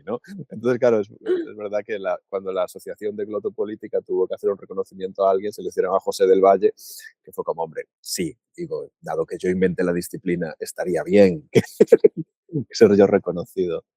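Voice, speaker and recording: Low, dark-voiced man musing aloud. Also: no echo. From Paraguay. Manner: thinks aloud with restarts and rhetorical asides. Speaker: podcast co-host. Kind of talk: casual reflective conversation.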